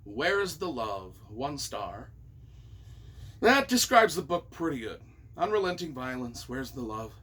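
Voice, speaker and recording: A man's voice: medium pitch, very animated, measured pace, bright, forceful tone, breathy edge. A man reading reviews aloud and reacting off the cuff as their author, with a theatrical, emphatic, mock-exasperated delivery.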